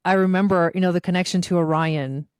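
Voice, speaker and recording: A woman's voice: fairly low pitch, fairly even intonation, fast, darkish tone, smooth, dry recording, clean studio sound. A woman from Argentina, a guest on a podcast, talking in an enthusiastic, chatty way with frequent fillers and restarts. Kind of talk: casual conversational interview.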